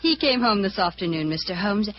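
An actress playing a coy, flustered young newlywed in an old-time radio drama. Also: female voice, mid-range voice, expressive, a little fast, natural voice. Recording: dull, hissy old radio recording.

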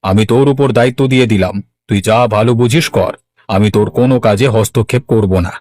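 A man's voice: on the low side, brisk, a little mellow, no room sound, lightly acted. Weary, kindly old village man voiced by a man. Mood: trusting, resigned. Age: older. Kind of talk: Bangla cartoon voice acting.

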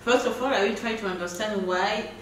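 A woman's voice: medium pitch, fairly quick, a bit bright, a little hiss or hum. A young woman, a guest speaking hesitantly with many fillers. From Gabon. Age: young adult. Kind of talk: casual group discussion. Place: living room, seated on a couch. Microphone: far camera. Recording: roomy echo from a distant mic.

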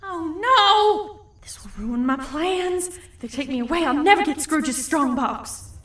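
A woman's voice: sits high, lively intonation, measured pace, fairly bright tone. A woman voicing a greedy, feisty female cartoon duck in a fan comic dub, theatrical and scornful. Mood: flustered. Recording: roomy, distant home recording over music.

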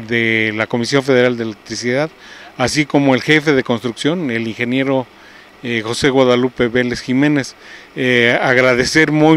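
A middle-aged man with a Mexican accent giving a formal, halting statement as a municipal official. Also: mid-range voice, fairly bright tone. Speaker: municipal public lighting official. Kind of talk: interview statement. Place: outdoors by a roadside. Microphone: handheld reporter microphone. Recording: noisy outdoor field interview.

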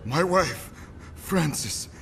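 An actor voicing a tormented male scientist turned vampire in a video game, halting, pained and pleading. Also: on the high side, lively intonation, vocal fry, a bit bright, faint music behind, exaggerated voice. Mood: desperate. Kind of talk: video game cutscene acting.

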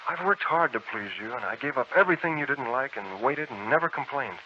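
A young suitor, wounded and pleading, in a 1940s radio drama. His delivery is theatrical and impassioned, with a bright, forceful edge and sweeping pitch. The old broadcast recording is muffled and hissy. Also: expressive, a little fast. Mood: hurt, pleading. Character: lovesick young man, wounded, pleading and bitter.

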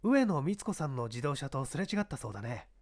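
Man playing a commanding police inspector, animated. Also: mid-range voice. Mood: probing. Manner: brisk, authoritative, giving orders. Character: shrewd, commanding middle-aged male police inspector. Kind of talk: dramatized mystery audiobook reading.

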